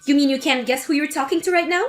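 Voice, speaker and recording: A woman's voice: sits high, quick pace, soft background music, close to an everyday voice. A woman voicing an angry adult daughter confronting her mother in a scripted text-story drama. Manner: accusing, exasperated.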